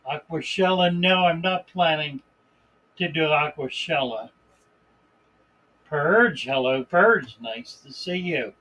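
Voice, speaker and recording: A man hosting a live stream in a relaxed, unhurried way, greeting viewers by name and reading their comments aloud. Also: fairly high pitch, rough recording. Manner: relaxed, unhurried, chuckles between remarks. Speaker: livestream host.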